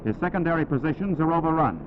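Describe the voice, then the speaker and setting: A man's voice: on the high side, animated, quick pace, very noisy. A man narrating a scripted 1940s wartime newsreel in a dramatic, declamatory style with emphatic short phrases. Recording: tinny 1940s newsreel soundtrack, narrow band.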